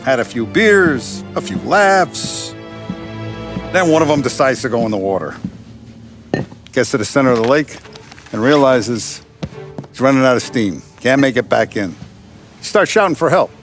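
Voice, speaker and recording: A man playing a calm, commanding American Mafia boss in a crime video game, speaking deliberately and with quiet menace. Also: mid-range voice, soft background music, close to an everyday voice. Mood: veiled menace. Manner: deliberate, authoritative, veiled threats. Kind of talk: cinematic crime game acting.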